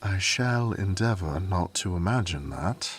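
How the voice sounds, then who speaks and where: A man's voice: sits low, expressive, measured pace, slight background noise. A man playing a loyal royal servant in a fantasy audio drama, formal, courteous and restrained, with dry wit. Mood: dry, devoted.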